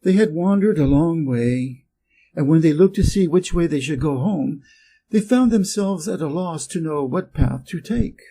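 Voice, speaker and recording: A man from Wisconsin with an American accent, reading a fairy tale aloud slowly and clearly for English learners. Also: fairly high pitch, animated, dark tone. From Wisconsin, USA. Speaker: reader. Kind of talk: storybook reading.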